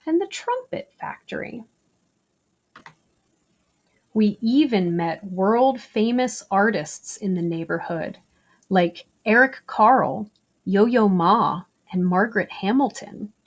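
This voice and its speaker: Slow-paced woman reading a picture book aloud. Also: mid-range voice. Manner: slow, deliberate phrasing for children. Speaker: story reader. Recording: slightly dull, lo-fi recording.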